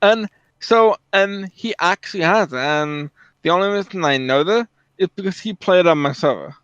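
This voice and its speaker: Guy chatting about games, matter of fact, smooth higher-ish voice, muffled lo-fi mic. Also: moderate pace, a bit bright, dry recording. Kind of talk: casual chat about video games. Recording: dull, lo-fi voice track.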